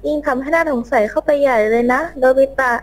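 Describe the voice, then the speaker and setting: A woman's voice: high voice, fast talker, faint music behind, lightly acted. A woman voicing a sweet but insistent, easily hurt schoolgirl in a cartoon fan drama. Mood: worried, insistent.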